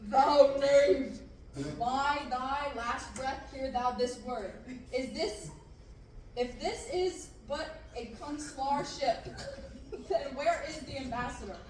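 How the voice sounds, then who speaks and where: A woman reading the part of a menacing male dark lord in slow, grandiose Shakespearean verse at an amateur table reading. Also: sits high, slight background noise. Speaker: table-read actor. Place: large classroom, indoors. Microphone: far camera. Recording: echoey room from far off.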